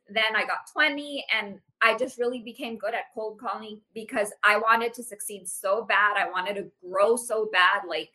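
A woman in her late thirties, a sales manager talking casually as a podcast guest, animated and full of "like". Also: fairly high pitch, rough recording. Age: 30s. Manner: animated, uses "like" often. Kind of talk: casual interview chat.

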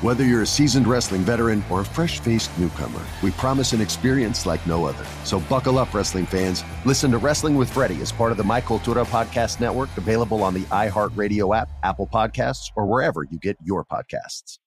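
A man's voice: on the low side, a little fast, hardly any pauses, music in the background. A man announcing a scripted wrestling podcast promo.

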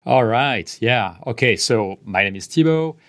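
A man in his fifties from France with a French accent, answering interview questions in a measured way, pausing mid-phrase and letting his voice trail off softly. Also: medium pitch, expressive. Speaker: guest executive.

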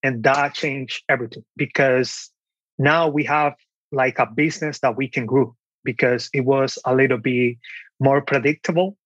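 A man's voice: medium pitch, steady tone, normal speed, fairly bright tone, no room sound, highs cut off. A young man from the Dominican Republic with a Dominican Spanish accent, telling his story casually as an interview guest. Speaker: podcast guest, online seller.

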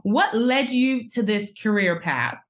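A woman's voice: mid-range voice, very animated, measured pace. A woman from Bermuda who hosts a podcast, reads an introduction and asks questions in an upbeat, welcoming way. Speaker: podcast host. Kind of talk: podcast interview, scripted introduction.

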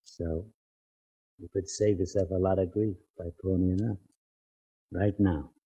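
Dark, gravel-low radio racketeer. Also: male voice, steady tone, heavily acted. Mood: cool menace. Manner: slangy, deliberate, threatening. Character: tough, menacing male racketeer, coolly self-assured. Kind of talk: 1940s radio crime drama acting. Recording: muffled old 1940s radio recording.

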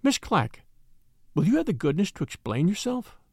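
A man reading aloud voices a distressed young lady, rising high into shrill, pleading horror. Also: huge pitch swings, normal speed, dry recording, clean studio sound, heavily acted. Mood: cool.

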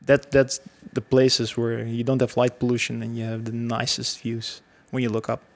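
A man's voice: mid-range voice, completely flat delivery, normal speed, creaky. A man in his thirties from Belgium, a guest speaking casually and hesitantly with many fillers and restarts. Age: 30s. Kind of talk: casual conversational interview.

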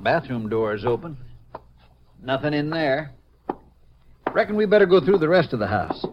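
A man's voice: fairly high pitch, animated, normal speed, natural voice. A man playing a plain-spoken, folksy small-town sheriff with an American accent in an old-time radio drama. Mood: determined. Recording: muffled, noisy 1950 radio recording.

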